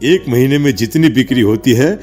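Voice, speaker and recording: A man playing a skeptical, easily rattled village sweet-shop owner in a Hindi animated horror story, with a dramatic, exasperated manner. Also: mid-range voice, brisk, lightly acted. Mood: pleased, busy. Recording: music behind the voice.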